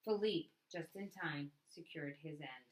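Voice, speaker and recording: Lady with a lowish voice doing a read aloud of a picture book, expressive storyteller style, leans on key words for drama. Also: a little slow, noisy background.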